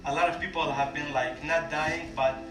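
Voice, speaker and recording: A man's voice: on the high side, steady tone, far from the mic. Young Syrian man in an echoey hall. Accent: Syrian Kurdish. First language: Kurdish. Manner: plain, halting storytelling with restarts. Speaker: refugee guest speaker. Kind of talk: personal story told to an audience. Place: large room at a community lunch. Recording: echoey room, voice from afar, loud music.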